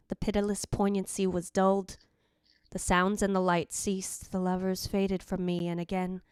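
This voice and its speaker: A woman's voice: mid-range voice, darkish tone, clear, resonant tone, no room sound, natural voice. A woman playing the jealous ghost of a dead wife, narrating in a theatrical, literary, anguished way in old-time radio style. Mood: weary, resigned.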